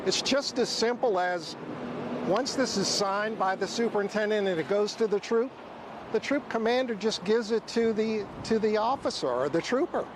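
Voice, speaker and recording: A man's voice: sits high, moderate pace, noisy background. A man giving formal interview comments as a watchdog official. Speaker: watchdog group president being interviewed.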